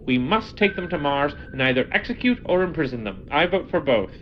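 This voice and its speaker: A woman's voice: sits low, sing-song, brisk, highs cut off, a little hiss or hum. A woman playing a sadistic, scornful Martian henchman in a comic audio drama, sarcastic and menacing. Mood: scheming contempt.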